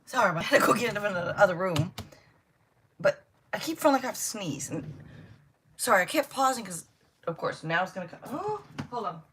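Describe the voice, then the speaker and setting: A woman's voice: mid-range voice, big pitch swings, a little fast, pauses often, fairly bright tone, slight background noise. An older woman doing a casual livestream tarot reading, chatty and blunt, interrupting herself and swearing freely. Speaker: tarot reader.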